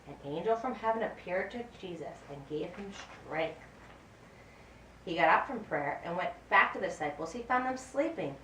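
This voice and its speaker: A woman narrates a Bible story for a puppet show with deliberate, expressive reading. Her voice is on the low side and moves widely in pitch, picked up in a roomy space from a distance. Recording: roomy, distant mic, faint background noise.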